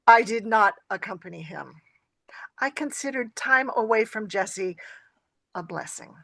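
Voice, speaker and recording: A woman playing a nineteenth-century lady in a historical play reading, with measured, literary delivery. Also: mid-range voice, animated, gravelly, sharp tone, no echo, heavily acted. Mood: dry.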